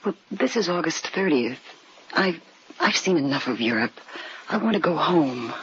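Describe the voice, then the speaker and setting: An actress with an American accent playing an anxious, hesitant wife in an old radio horror drama. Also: female voice, low-pitched, big pitch swings, moderate pace. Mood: uneasy. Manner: hesitant, nervous. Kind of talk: 1940s-50s radio drama acting. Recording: muffled, noisy old radio recording.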